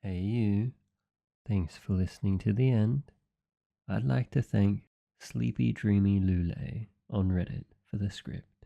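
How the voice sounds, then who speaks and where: A man's voice: on the low side, a little slow, gravelly, mellow, highs cut off. A man who makes audio roleplays, speaking casually to his listeners in a sign-off.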